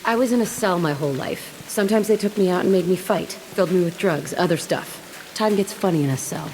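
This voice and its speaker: A woman's voice: on the low side, brisk, darkish tone, a little hiss or hum, lightly acted, poor audio. An actress playing a tough, bitter young woman, speaking blunt and sardonic.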